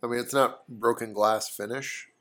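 A man co-hosting a podcast, loud and full of quick joking asides and fillers while tasting bourbon. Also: mid-range voice, steady tone. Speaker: podcast co-host. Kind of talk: casual chat over bourbon tasting.

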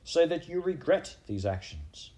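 A man's voice: fairly low pitch, normal speed, darkish tone, natural voice. A man voicing a stern, pompous head mouse who declaims imperiously in a storybook reading. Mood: grave, demanding.

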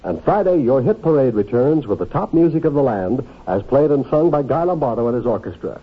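A male radio announcer with a medium-pitched, husky voice and very lively intonation. He reads upbeat scripted promotion in 1950s style, over a thin, phone-like old recording. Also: a little fast. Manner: polished, upbeat sales delivery. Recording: narrow-band, tinny old radio recording.

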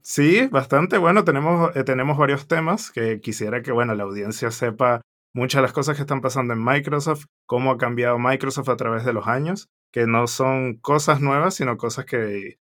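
A man's voice: mid-range voice, moderate pace, fairly bright tone. A man hosting a podcast interview, talking casually with frequent hesitations and restarts. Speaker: podcast host.

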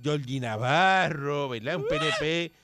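A Puerto Rican man runs a call-in radio show, trading teasing, sarcastic banter. His voice sits a little high with a gravelly edge, close and dry on the studio mic. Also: a little slow, a bit bright, no room sound. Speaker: radio host.

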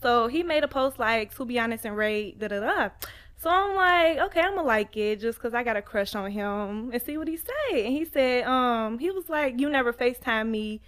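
Twenties woman, guest, high clear voice, animated storyteller. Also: moderate pace. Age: 20s. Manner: animated storyteller, giggly, acts out quotes. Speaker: podcast guest. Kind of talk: casual storytelling.

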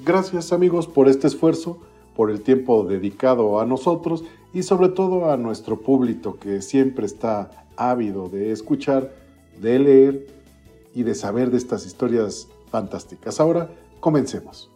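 A man hosting a literary podcast, reading an introduction and an author biography in a formal, measured way. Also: mid-range voice, expressive, mellow, faint music behind. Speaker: podcast host.

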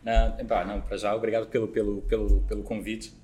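A man's voice: fairly low pitch, little pitch movement, dark tone, poor audio. A man in his forties with a Portuguese accent tinged with Brazilian, talking casually as an interview guest and often restarting his sentences. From Terceira, Azores. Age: 40s. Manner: halting, many restarts and fillers. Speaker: podcast guest.

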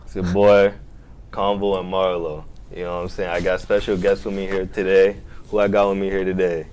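A man's voice: deep voice, level tone, a little fast, a little mellow, a little hiss or hum. A man hosting a casual podcast chat, talking in laid-back slang and asking his guests lots of questions. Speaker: podcast host.

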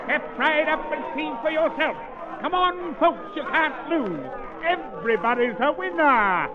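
Very high-pitched carnival barker. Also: male voice, expressive. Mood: hawking. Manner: drawn-out hawking calls. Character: loud carnival barker drumming up customers. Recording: dull vintage radio with loud crowd.

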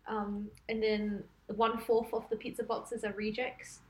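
A young woman chatting casually as a podcast co-host, reacting quickly and filling her talk with fillers. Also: medium pitch, level tone, darkish tone. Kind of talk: casual chat.

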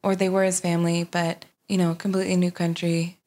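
A young woman with a fairly low voice, from Sweden, chatting as a podcast guest. She talks in a loose, unhurried way and fills her pauses with ums and uhs. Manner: relaxed, hesitant with frequent ums and uhs. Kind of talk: casual conversational storytelling.

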